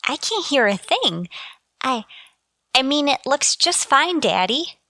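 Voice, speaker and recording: An earnest teenage daughter, acted by a woman in old-time radio comedy style. Her voice is high and very lively in pitch, with an eager, polite and girlish delivery. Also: takes it slowly, dry recording, full character voice.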